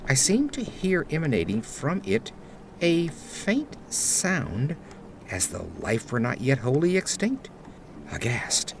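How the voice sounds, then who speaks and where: A man tells a gothic horror story slowly and dramatically, with suspenseful phrasing. His voice is fairly low and gravelly, and faint ambient noise sits underneath. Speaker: narrator. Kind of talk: dramatic scripted narration of gothic horror fiction. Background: ambient sound effects.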